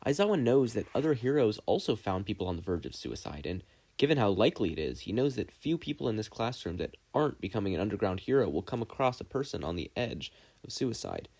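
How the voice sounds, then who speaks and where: Husky, mellow male story narrator. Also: lowish voice. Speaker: amateur audiobook narrator. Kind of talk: expressive scripted story narration.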